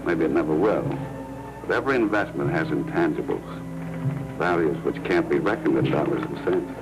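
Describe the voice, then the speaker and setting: A smug American racketeer from forties film noir. The voice is very deep, the delivery suave and measured with formal politeness, and loud music plays on the old film soundtrack. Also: male voice, poor audio. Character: smooth, smug racketeer club director in a crime film. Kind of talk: 1940s film noir acting.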